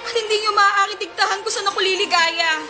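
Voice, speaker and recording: A woman's voice: very high-pitched, steady tone, a little fast. A young Filipino woman playing a devoted, defiant rich man's daughter in an amateur radio drama. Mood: tearful defiance. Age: young adult. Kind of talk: melodramatic radio drama acting. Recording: loud music under the voice.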